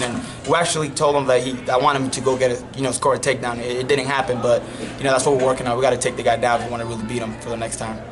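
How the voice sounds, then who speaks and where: A young man from the Dominican Republic, a native Spanish speaker, giving a relaxed post-match interview as a college wrestling coach, full of you-knows. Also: mid-range voice. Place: wrestling tournament arena. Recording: loud arena crowd behind. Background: crowd noise.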